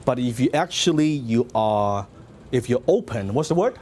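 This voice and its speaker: A man's voice: mid-range voice, expressive, moderate pace, a crowd in the background. A middle-aged man from Hong Kong with a Hong Kong Cantonese accent, giving a motivational seminar talk and telling his story with lots of questions to the audience. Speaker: business speaker.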